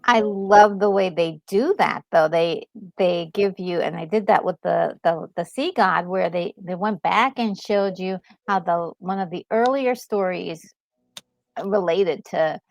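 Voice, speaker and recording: A woman's voice: on the low side, unhurried, gravelly, no room sound. A woman co-hosting a gaming video, chatting casually and acting out reactions as she tells the story. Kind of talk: casual gaming commentary and chat. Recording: music playing behind the voice. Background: music.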